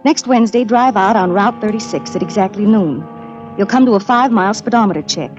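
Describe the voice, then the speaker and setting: A woman's voice: mid-range voice, brisk, a little mellow, lightly acted. An earnest young woman of old radio drama, played by an actress, urgent and clipped. Her mid-range voice comes through a dull vintage recording with faint music. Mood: mysterious, earnest. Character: earnest, anxious young woman pleading for help. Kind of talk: 1940s radio drama acting.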